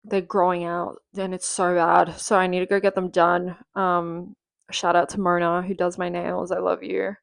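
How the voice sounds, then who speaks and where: A woman's voice: fairly low pitch, flat delivery, normal speed, gravelly, clean tone, dry recording. A woman in her twenties hosting a casual solo podcast, chatty and rambling with lots of fillers, a bit nasal from being sick, recorded at home. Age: 20s. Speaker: podcast host.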